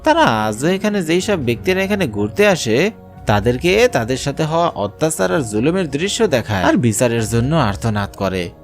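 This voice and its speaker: A man's voice: mid-range voice, very animated, moderate pace, soft background music. A man playing a respectful tea seller in a horror cartoon, speaking in a solemn, deferential way. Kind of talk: dramatic horror cartoon acting.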